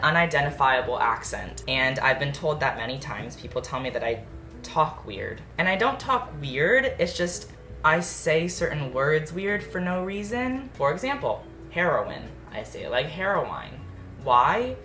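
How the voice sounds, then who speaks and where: Late-teen male YouTuber, native Japanese speaker, rambling carefully enunciated chat; echoey kitchen, soft music underneath. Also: on the high side, sing-song, measured pace. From United States. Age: late teens. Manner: rambling, carefully articulated words. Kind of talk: casual baking vlog chat. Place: home kitchen.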